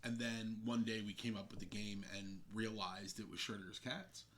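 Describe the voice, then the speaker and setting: Guy with a lowish voice, game designer just chatting through how the game works. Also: fairly even intonation, moderate pace, a bit bright. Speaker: game designer being interviewed. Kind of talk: casual chat explaining a game.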